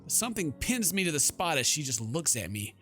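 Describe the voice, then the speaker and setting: A young man with a bright, forceful voice that sits a bit high. He reads a game's story aloud theatrically and adds jokey commentary, with music playing behind him. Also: big pitch swings, fairly quick. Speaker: gaming video host. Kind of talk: gameplay commentary, reading story text aloud with asides. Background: game music.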